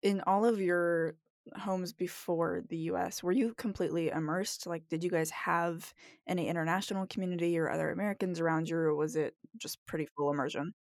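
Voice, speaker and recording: Woman host, lowish voice, asks curious follow-ups, dry close mic. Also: fairly even intonation, fairly quick, a little mellow, no echo. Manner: curious, asking follow-up questions. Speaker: podcast host. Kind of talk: casual conversation.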